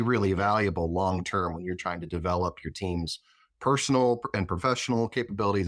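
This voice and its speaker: A man speaking as an interview guest in a reflective, explanatory way, phrasing his thoughts in a measured manner. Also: low voice, fairly bright tone, no room sound, studio quality. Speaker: interview guest, chief of staff.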